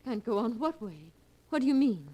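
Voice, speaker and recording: An actress playing a devoted, fussing suburban wife in an earnest, melodramatic 1940s radio drama style. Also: female voice, on the high side, big pitch swings, moderate pace, dark tone, low-quality recording. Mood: shocked, pleading. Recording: old 1940s radio broadcast recording.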